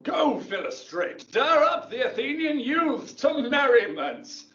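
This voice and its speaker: In a dull, roomy recording with faint music, a stately, amorous duke from a Shakespearean audio drama. He declaims grandly and lyrically in a high, swooping voice, taking his time. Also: very animated, slow, a bit bright, exaggerated voice. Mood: festive command. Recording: dull, echoey playback from a distance.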